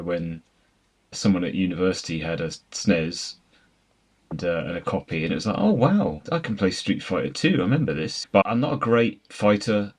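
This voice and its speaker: Low-pitched man in casual podcast talk. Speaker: podcast host. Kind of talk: casual film and game discussion.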